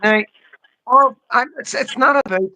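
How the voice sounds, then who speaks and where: A man's voice: very high-pitched, measured pace, sharp tone, slight background noise, rough recording. An English man in his fifties chatting casually on a livestream, insistent and often repeating his phrases. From England. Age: 50s. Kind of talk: casual opinionated livestream chat.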